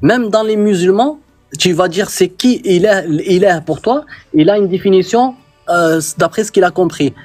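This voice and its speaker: A man's voice: high-pitched, normal speed, darkish tone, soft background music. A man whose first language is Arabic, explaining religious ideas in a live debate, hesitant with many fillers and repeated words. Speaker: guest debater.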